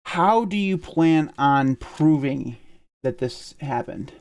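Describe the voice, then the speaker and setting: A man's voice: on the high side, animated, slow, creaky, darkish tone. A man hosting a casual podcast, rambling with frequent laughs and restarts. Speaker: podcast host.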